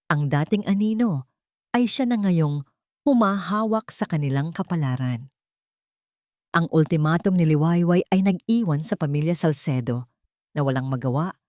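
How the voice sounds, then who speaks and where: A female storyteller reads a scripted drama in a low register. Her phrasing is deliberate and dramatic, and the sound is very dry, without room reflections. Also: a little mellow, no echo. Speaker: story narrator.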